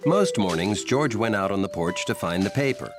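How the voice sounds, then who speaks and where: A genial male storyteller performed by an actor narrates a children's cartoon. His voice is low with lively intonation. Loud music plays behind him. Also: normal speed, natural voice.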